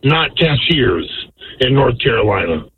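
A man calling into a radio show with a raspy voice, teasingly correcting the host. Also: medium pitch, very animated, measured pace, narrow, tinny audio, a little hiss or hum. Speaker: caller.

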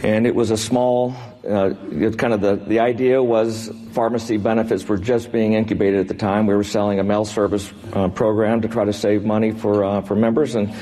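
A man in his sixties with an American accent speaks in a low, soft-edged, dark voice. He answers interview questions in measured, halting phrases, and the sound rings with the long reverb of a big room. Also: flat delivery. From Texas, United States. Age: 60s. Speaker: interviewed business executive. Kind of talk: unscripted on-stage interview answers. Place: large indoor event room before an audience.

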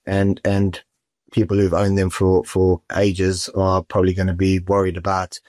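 A man gives advice on property and mortgages in a measured way, often pausing with fillers. His voice sits very low. The recording is dry and close. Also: monotone, darkish tone, dry recording. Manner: measured, hesitant with many ums. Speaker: property finance adviser. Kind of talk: interview answers.

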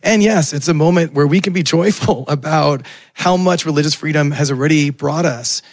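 Male panelist, raspy and hoarse, earnest delivery, dead-dry mic. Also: on the high side, moderate pace, no echo. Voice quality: gravelly. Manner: earnest, thoughtful, with small laughs. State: raspy voice from illness. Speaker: panelist, Baptist minister. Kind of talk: panel discussion remarks.

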